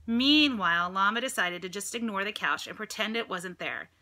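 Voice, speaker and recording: A woman's voice: medium pitch, animated, normal speed, bright, forceful tone. A middle-aged American woman reads a picture book aloud to children, expressively, with chatty asides and questions to her listeners. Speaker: storybook reader, teacher reading to children. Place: indoors at home, room with dresser and ceiling fan. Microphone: phone or tablet at arm's length.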